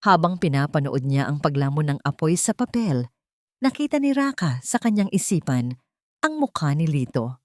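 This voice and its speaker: A woman's voice: deep voice, a little mellow, dry recording, studio quality. A woman reading a dramatic story as an audiobook narrator in a solemn, melodramatic manner. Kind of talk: dramatic scripted narration of a Tagalog love story.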